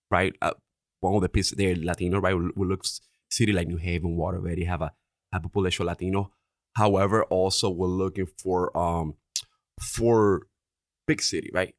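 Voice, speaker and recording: Earnest Dominican-accented man, deep voice. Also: fairly even intonation, moderate pace, no room sound, clean studio sound. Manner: earnest, repeats "right?" often. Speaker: insurance agency owner. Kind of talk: podcast interview.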